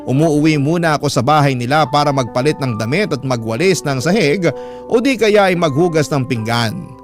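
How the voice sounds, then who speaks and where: A man with a Filipino accent narrating a scripted first-person story in dramatic radio style. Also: fairly high pitch. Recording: voice over loud music bed. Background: music.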